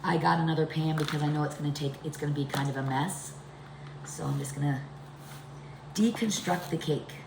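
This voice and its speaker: A middle-aged woman talks casually through a home recipe. Her voice is deep and congested from a chest cold, with a gravelly creak. The kitchen around her is echoey. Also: steady tone, fairly quick. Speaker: home cooking video host. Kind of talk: casual cooking demonstration talk. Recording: echoey room with background noise.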